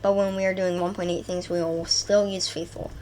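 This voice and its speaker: Stuffy-nosed excitable woman gamer. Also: on the low side, a little fast, slight background noise. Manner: excitable, enthusiastic, rambling lists with fillers. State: stuffy from a cold. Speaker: gaming channel host. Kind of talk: casual gameplay commentary.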